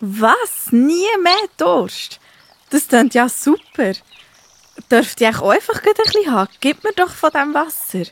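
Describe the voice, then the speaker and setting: A woman's voice: sits high, sing-song, unhurried, heavily acted. A woman playing a young biblical woman in a children's audio drama, speaking expressively with a Swiss German accent. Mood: surprised, eager. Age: young adult.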